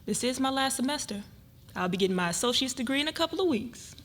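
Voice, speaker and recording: High-voiced, wary young college woman read by an actress. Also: animated, fast talker. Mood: curious. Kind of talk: dramatic reading of a novel. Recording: noisy live event recording.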